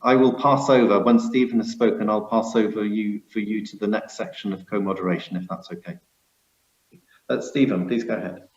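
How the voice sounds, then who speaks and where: A man moderating a panel discussion, passing the floor between speakers in a measured way. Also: on the low side, steady tone, reverberant, muffled recording.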